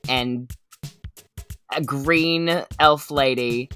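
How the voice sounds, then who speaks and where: Kiwi woman, deep slow voice, super chill. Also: a bit bright, faint music behind. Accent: New Zealand. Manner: laid-back, offhand, laughing. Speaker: player.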